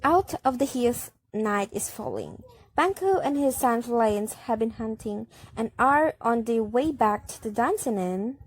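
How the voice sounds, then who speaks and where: A woman's voice: a little high, lively intonation, measured pace, no room sound. A woman reading scripted narration for an amateur radio drama.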